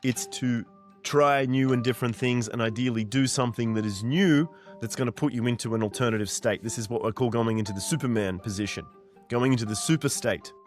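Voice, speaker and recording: Man in his forties, earnest, direct, repeats for emphasis, soft music behind. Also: mid-range voice, brisk. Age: 40s. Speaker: mindset coach. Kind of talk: motivational monologue.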